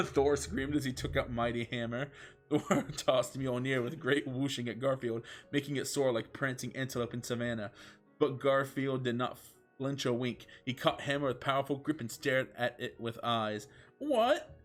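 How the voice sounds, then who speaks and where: A man's voice: medium pitch, fairly even intonation, moderate pace. Man narrating mock-epic fan fiction over music. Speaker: streamer reading fan fiction aloud. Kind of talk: comedic dramatic reading of fan fiction.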